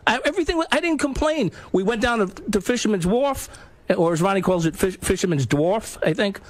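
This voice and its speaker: High-pitched, animated, exasperated man. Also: brisk. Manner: defensive, exasperated, repeats himself. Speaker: show staffer arguing on air. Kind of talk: heated casual on-air argument.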